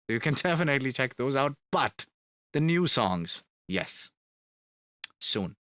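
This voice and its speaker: A man's voice: medium pitch, big pitch swings, takes it slowly, fairly bright tone, no room sound. A man chatting casually on a live stream, reading fan questions aloud and answering them, repeating words for emphasis. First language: Finnish. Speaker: band member streaming to fans.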